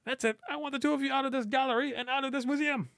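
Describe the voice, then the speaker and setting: A man's voice: very high-pitched, fairly even intonation, very fast, gravelly, a bit bright, no echo, clean studio sound, pitched above the performer's own voice, heavily acted. A man voicing a pompous, stubby male art curator, sputtering and easily outraged, in a visual novel. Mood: furious. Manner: sputtering, indignant.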